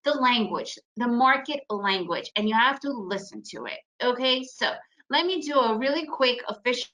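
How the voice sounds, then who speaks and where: A woman in her forties presents to an online audience in a chatty, storytelling way, often checking in with a quick "right?". Her voice sits a little high and sounds bright and forceful. She grew up in the United States and her first language is Russian. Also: expressive, low-quality recording. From Ukraine, raised in the United States. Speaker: trading webinar presenter. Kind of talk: casual presentation.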